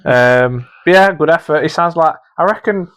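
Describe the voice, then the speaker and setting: A man's voice: fairly high pitch, normal speed, fairly bright tone, clear, resonant tone, dry recording, clean studio sound. A man co-hosting a podcast as the judge, thinking aloud hesitantly in casual banter. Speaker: podcast host and judge scoring contestants.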